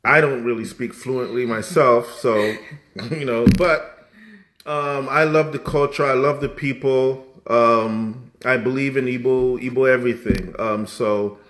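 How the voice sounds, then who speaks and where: A man, speaking at a middle pitch as a guest on a podcast. He talks in a rambling, animated way. He restarts his sentences often. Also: unhurried. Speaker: musician guest. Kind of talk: casual conversational interview.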